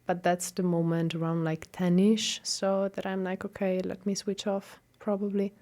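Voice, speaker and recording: A young woman from Italy with an Italian accent, a guest researcher talking casually in an interview, animated and full of fillers and restarts. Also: mid-range voice, normal speed. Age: young adult.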